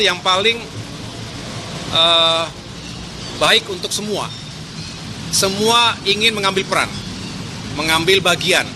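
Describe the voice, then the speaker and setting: A man giving an official statement in deliberate, halting short phrases. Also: high-pitched. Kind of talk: spoken press statement. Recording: noisy outdoor street with traffic.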